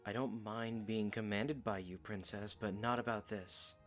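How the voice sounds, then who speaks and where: A man playing a gentle, stubborn young knight in an audio drama, speaking softly and informally with playful defiance. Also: fairly low pitch, fairly even intonation, a little slow, soft background music, lightly acted. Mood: teasing defiance. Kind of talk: fan audio drama acting.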